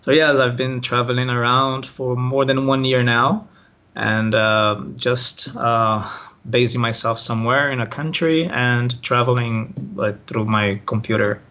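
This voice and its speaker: A man from Brazil, a Portuguese native speaker, talks haltingly, with plenty of fillers and restarts. His voice sits at an ordinary pitch and comes through a dull, muffled video call line. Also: level tone, bright, forceful tone. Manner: hesitant, many fillers and restarts. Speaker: online language teacher, interviewee. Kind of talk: casual interview answers.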